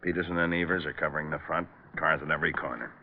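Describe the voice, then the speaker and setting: An actor playing a gruff, impatient police lieutenant with an exasperated manner, in old radio crime drama style. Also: male voice, extremely low pitch, fairly even intonation, racing, a little hiss or hum. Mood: stern. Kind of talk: 1940s-50s radio crime acting. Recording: dull old radio recording.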